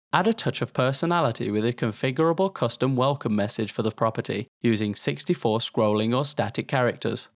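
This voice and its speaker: Male narrator, mid-pitched, for product promo. Polished, informative scripted read, recorded very dry. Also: no echo.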